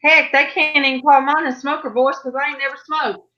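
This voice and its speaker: A woman's voice: sits high, level tone, brisk, sharp tone, dull audio, slight background noise. A middle-aged woman, hosting an online church panel in a folksy, chatty way over a video call. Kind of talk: casual chat on a livestream.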